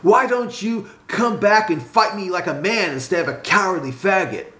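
A man voicing a furious brawler in his fifties in a story, shouting mocking taunts. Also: medium pitch, big pitch swings, a little slow. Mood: taunting, shouting. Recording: roomy echo, some background noise.

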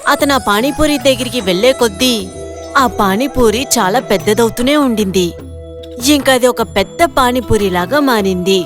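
A woman reading scripted narration for a moral story. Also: fairly high pitch.

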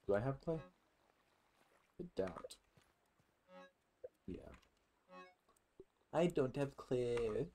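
A man's voice: medium pitch, expressive, extremely slow, long pauses, warm tone, soft background music. A man giving casual, sweary game commentary, muttering to himself as he plays. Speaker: gaming streamer.

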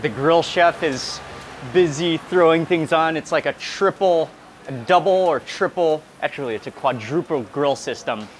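A man in his thirties excitedly narrating a seafood meal for a food vlog, savoring each bite with hums and exclamations, recorded on a small clip mic in a restaurant. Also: fairly high pitch, normal speed, a little hiss or hum. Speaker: food vlogger. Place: restaurant dining room and outdoor grill. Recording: busy restaurant crowd behind. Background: restaurant crowd chatter.

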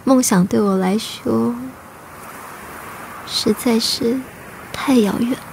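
Earnest, high-voiced teenage immigrant girl. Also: takes it slowly, gravelly, background noise. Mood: dejected. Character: earnest, striving teenage Vietnamese immigrant schoolgirl, wistful. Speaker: voice actor and narrator. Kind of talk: radio drama acting and first-person narration. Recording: music behind the voice.

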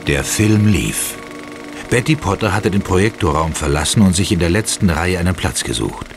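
Grave horror story narrator, low voice that swings a lot, suspenseful, big music behind. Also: male voice, expressive, normal speed, creaky. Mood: ominous. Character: ominous male storyteller of a horror tale. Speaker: third-person narrator. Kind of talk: dramatic scripted horror narration. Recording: loud dramatic music behind.

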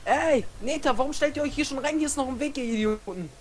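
A young woman with a rather high voice plays through a game with friends. She keeps calling out short, repeated directions and jokes around playfully. Her talk is relaxed, casual gaming chat. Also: fast talker. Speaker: Let's Play gamer.

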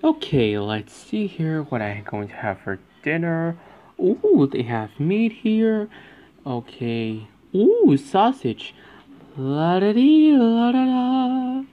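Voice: mid-range voice, very animated, slow, narrow, tinny audio. A performer voicing a lazy, playful cartoon fox big brother, with a drawling, theatrical delivery. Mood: cheerful. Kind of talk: character voice lines, acted.

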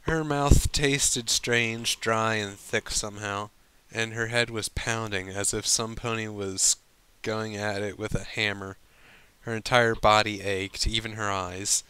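A man reading fan fiction aloud as a storybook narrator, with some halting stumbles over the lines. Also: lowish voice, fairly even intonation, pushed and bright, clean tone.

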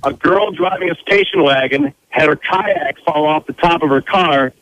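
A man's voice: fairly high pitch, moderate pace, a bit bright, low-quality recording. A man reporting traffic on the radio in casual on-air banter. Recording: replayed radio clip, faint noise.

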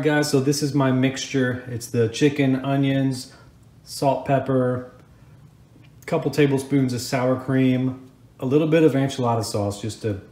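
A man's voice: medium pitch, a little mellow. A middle-aged man with an American accent, casually talking viewers through a recipe as host of a home cooking video. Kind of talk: casual how-to cooking narration. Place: home kitchen, indoors. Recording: echoey kitchen room.

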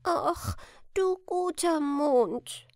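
A woman's voice: high-pitched, animated, very slow, dry recording, clean studio sound, voice pushed up, exaggerated voice. A woman voicing a small, timid orphan girl in a fairy tale, innocent and plaintive in her questions. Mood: pleading.

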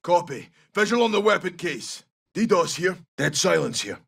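An actor playing a gruff, brash Scottish special forces soldier in a military shooter game, giving clipped, urgent tactical callouts in a rough, gravelly voice. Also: male voice, high voice, very animated, moderate pace, fairly bright tone, distant mic, exaggerated voice. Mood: terse, focused.

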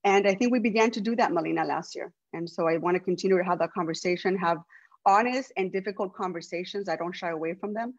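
Fast-talking woman chairing a council meeting. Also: on the low side, muffled recording. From Los Angeles, USA. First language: Spanish. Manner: earnest, speaks with many restarts. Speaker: city council president. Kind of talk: opening remarks at an online public meeting.

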